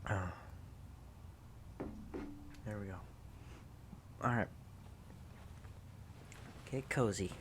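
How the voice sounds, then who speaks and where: A man playing an affectionate, sleepy student in an intimate ASMR roleplay, soft, teasing and halting as he dotes on a pet. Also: lowish voice, background noise. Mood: cozy, sleepy. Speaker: audio roleplay performer.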